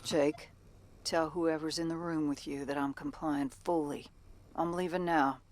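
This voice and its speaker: A woman's voice: sits low, fairly even intonation, unhurried, a little mellow. An actress playing a tough, plainspoken American female bounty hunter in a video game. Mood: alarmed. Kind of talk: video game cutscene acting.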